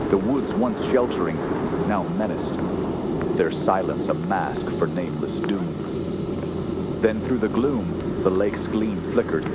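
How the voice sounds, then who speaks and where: Radio horror lead, male actor: haunted young man of the wilds, low, grave, very unhurried delivery. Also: close to an everyday voice. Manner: slow, grave, solemn, halting when distressed. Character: haunted young male wilderness survivor narrating his terror. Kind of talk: dramatic radio horror narration and acting. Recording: constant outdoor ambience noise behind voice.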